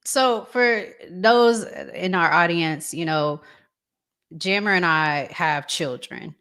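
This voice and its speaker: A woman hosting a casual talk show, reading out audience comments and posing questions in a measured, deliberate way. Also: lowish voice, fairly bright tone.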